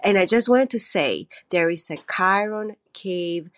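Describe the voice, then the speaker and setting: A woman in her forties, born in Greece and a native Greek speaker, leaving an earnest, slightly halting voice message as a listener. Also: mid-range voice, expressive, no room sound, studio quality. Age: 40s. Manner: earnest, warm, a little halting. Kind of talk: recorded voice message.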